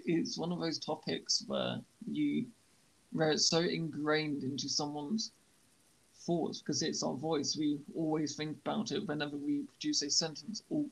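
A young man who stammers, hosting a podcast and speaking in a halting, reflective way. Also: a little high, gravelly, a bit bright, husky, low-quality recording. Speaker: podcast host. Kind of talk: podcast conversation.

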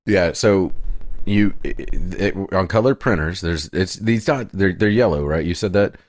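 A man co-hosting a podcast in casual chat, blunt, crude and opinionated, with a deep voice. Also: a little slow, dry recording, studio quality. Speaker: podcast co-host.

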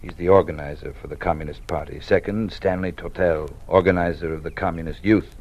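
An actor playing a weary older male college dean who lives in fear, speaking in a measured, quietly warning way in a 1950s radio drama. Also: low-pitched, steady tone. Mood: confiding. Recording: old radio broadcast with faint hiss.

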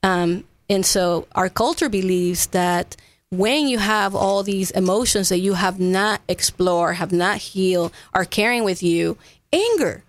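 A woman's voice: medium pitch, unhurried. A woman from Ecuador, a native Spanish speaker, talking earnestly as an interview guest and explaining her work in a conversational way with frequent check-in tags. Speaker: guest, massage therapist.

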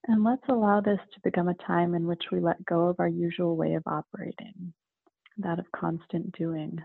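A woman leading a guided meditation in a calm, soothing manner, with gentle pauses between phrases. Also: lowish voice, creaky, slightly rough, no echo. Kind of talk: slow guided meditation narration. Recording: narrow phone-like, muffled sound.

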